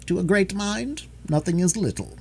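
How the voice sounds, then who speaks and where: A man voicing a composed, dryly courteous gentleman detective with a grave, sententious manner, in a storybook reading. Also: fairly high pitch, wildly swooping pitch, moderate pace, a little hiss or hum, pitched above the performer's own voice.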